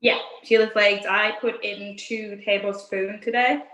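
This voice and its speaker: A woman's voice: mid-range voice, little pitch movement, unhurried, fairly bright tone, reverberant, low-quality recording. A young woman from Thailand with a Thai accent, explaining a recipe step by step over a video call. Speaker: guest chef. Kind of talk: cooking demonstration.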